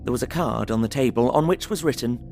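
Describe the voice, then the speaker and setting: Man playing a lowish-voiced, earnest young English gentleman. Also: fast talker, creaky, soft background music, close to an everyday voice. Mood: content, observant. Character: polite, earnest young English solicitor keeping a journal. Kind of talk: audio drama, narrated journal.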